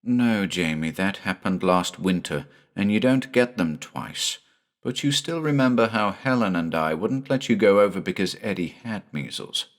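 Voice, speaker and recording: A man voicing a frightened country doctor who speaks gently and carefully to his young son. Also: low-pitched, expressive, moderate pace. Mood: gentle.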